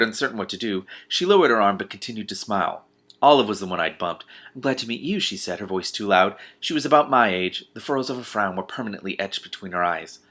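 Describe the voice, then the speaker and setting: Low-voiced man, forceful and bright, as an online book reader: expressive narration with incredulous commentary. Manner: expressive, breaks off to react in disbelief. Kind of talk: reading a novel aloud with exclaimed commentary.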